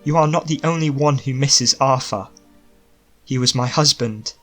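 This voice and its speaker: Gentle, firm widowed queen read by a man, earnest and pleading, bright tone, audiobook. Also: mid-range voice, normal speed, soft background music, pitched above the performer's own voice, close to an everyday voice. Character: mourning young queen, gentle but firm.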